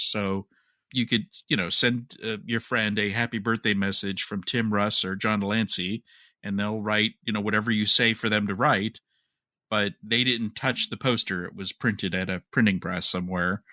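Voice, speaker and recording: A man's voice: on the low side, steady tone, moderate pace, bright, forceful tone, dry recording, clean studio sound. A man co-hosting a podcast, casually talking through news with a wry, skeptical manner. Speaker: podcast co-host.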